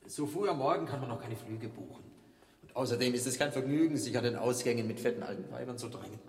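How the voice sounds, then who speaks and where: An actor playing a brisk, disdainful, grumbling man on a journey in a radio play. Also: mid-range voice, natural voice. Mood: dismissive. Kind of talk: German radio play acting. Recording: echoey hall reverb.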